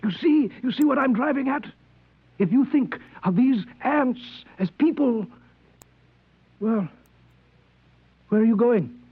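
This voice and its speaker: An actor playing a scheming, manipulative middle-aged ex-convict in a 1950s radio drama, insinuating and insistent, on a thin old recording. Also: male voice, very high-pitched, animated, slow, long pauses, breathy edge, phone-quality sound, heavily acted. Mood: excited, scheming. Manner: insinuating, insistent, repeats words. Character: scheming, manipulative ex-convict demagogue back from twenty years' exile.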